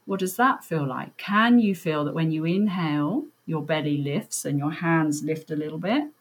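A woman leading a guided breathing meditation, giving gentle, reassuring, unhurried instructions. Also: lowish voice, slightly rough.

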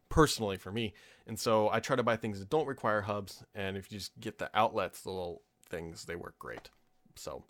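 A man's voice: lowish voice, a bit bright. A man in his thirties hosting a tech podcast from his home office, chatting casually and with strong opinions, full of ums and asides. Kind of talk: casual solo podcast chat.

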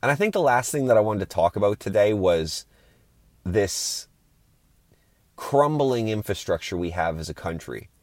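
A man with a fairly low voice hosts a podcast solo. He talks in an informal, opinionated rant, swearing casually as he airs his grievances. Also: very animated, moderate pace, a little mellow, no room sound. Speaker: podcast host.

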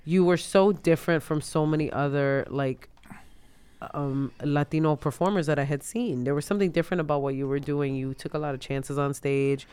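A woman in her thirties from New York hosting a casual podcast while sick, her voice deeper than usual, laughing often. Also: fairly even intonation, brisk, dark tone, smooth. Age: 30s. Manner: laughing often, putting on silly voices in quotes. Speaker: podcast host.

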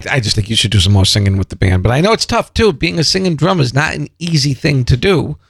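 A man's voice: mid-range voice, big pitch swings, quick pace, vocal fry, slightly rough. A man hosting an interview, easygoing and chummy, with short agreeing replies. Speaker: interview host. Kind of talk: casual interview.

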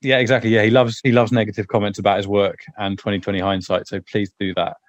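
A man co-hosting a casual football podcast with a hoarse, croaky voice, rambling and restarting his sentences. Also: on the low side, flat delivery, fast talker, dry recording, dull audio. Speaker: podcast co-host.

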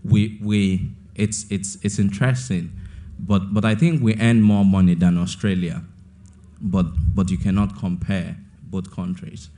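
Deep, flat-voiced young man from Nigeria. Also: measured pace. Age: 20s. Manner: thoughtful, repeats words as he gathers thoughts. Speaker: author being interviewed. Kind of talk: onstage interview.

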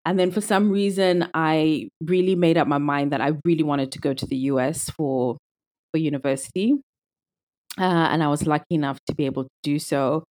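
A young woman from Zimbabwe with a notably low voice talks through her story as a podcast guest. She is thoughtful and casual, often restarting her sentences as she thinks. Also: fairly even intonation, a little fast, a little mellow. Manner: thoughtful, with many restarts and fillers. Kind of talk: casual conversational interview.

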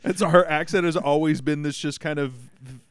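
A man's voice: fairly high pitch, fairly quick, a little hiss or hum. A man co-hosting a podcast in casual chat, wry and exasperated and swearing freely. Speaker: podcast co-host.

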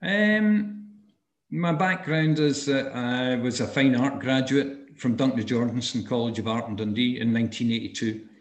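A man's voice: mid-range voice, normal speed, roomy sound. A Scottish man in his sixties, giving an oral history interview over a video call, speaking thoughtfully with many ums and restarts. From Scotland. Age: 60s. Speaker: interviewee, arts and health practitioner.

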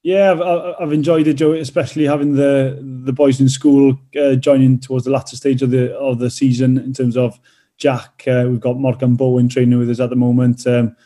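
Welsh rugby player, dark flat voice. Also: male voice, mid-range voice, moderate pace. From North Wales. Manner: hesitant, many fillers and restarts. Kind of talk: casual interview answers.

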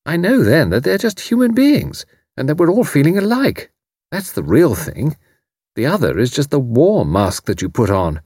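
Man voicing a brisk, kindly Englishwoman, lifted pitch. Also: sing-song, darkish tone, breathy edge, dry recording, clean studio sound. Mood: earnest. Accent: British English. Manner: robust, earnest, reassuring.

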